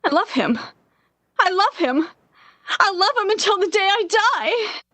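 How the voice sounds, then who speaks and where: Actress doing a lovesick anxious young woman, really high voice, pleading, old 1940s radio drama style, dry sound. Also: sing-song, bright, forceful tone, no room sound, full character voice. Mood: heartbroken. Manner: pleading, melodramatic, halting. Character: Anne, lovesick, anxious, desperate young woman clinging to love.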